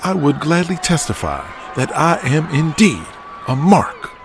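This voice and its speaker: A man voicing a cocky, smirking male wrestler in an audio drama, laying on grandiose, drawn-out flattery. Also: on the high side, noisy background, voice pushed up. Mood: smug flattery. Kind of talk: audio drama character acting.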